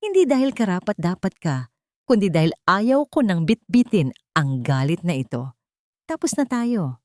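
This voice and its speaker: A woman voicing a wronged young heroine in an audio love drama, gentle, firm and composed. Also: lowish voice, sing-song, normal speed, no room sound, studio quality. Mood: calm, resolute. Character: wronged young woman, calm, resolute and tender.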